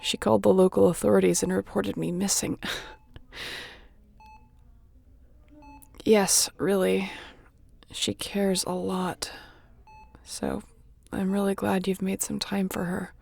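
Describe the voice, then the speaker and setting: A woman playing a wounded starship captain in a sci-fi audio roleplay, wry and caring, speaking haltingly and softly with a breathy, gravelly voice. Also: medium pitch, level tone, full character voice. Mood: tender. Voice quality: gravelly, often breathy and whispery.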